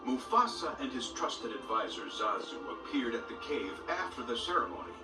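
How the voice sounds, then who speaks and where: A man narrating a read-along storybook in a lively, expressive way. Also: mid-range voice, normal speed. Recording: roomy distant audio with loud music. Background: loud orchestral music.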